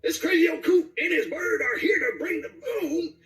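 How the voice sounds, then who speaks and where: Shrill, crazy old coot. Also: male voice, fairly bright tone, roomy sound, far from the mic. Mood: gleeful announcing. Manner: manic, sing-song drawn-out delivery. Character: crazy cackling old coot, explosive demolition fighter from a game.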